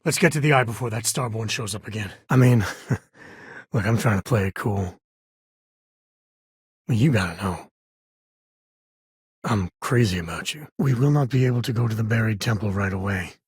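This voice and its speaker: An actor playing an easygoing middle-aged frontier ranger and dad in a space video game, husky and raspy, with a wry, plain-spoken manner. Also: male voice, lowish voice, moderate pace, long pauses, dry recording, clean studio sound. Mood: tender, bashful. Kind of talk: video game character dialogue. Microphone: close, dry studio mic.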